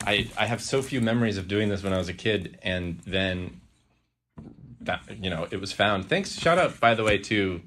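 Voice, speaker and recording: A man hosts a casual podcast. His voice sits on the low side with a bright, forceful tone, and he talks in loose, halting phrases that often repeat. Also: expressive. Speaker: podcast host.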